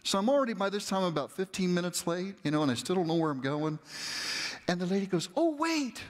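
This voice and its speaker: A middle-aged male pastor with a fairly high voice tells a story from a church stage, conversational and animated. His words ring with the long reverb of a large hall. He sounds like he is speaking into a body mic while walking and gesturing. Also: quick pace. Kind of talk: storytelling sermon.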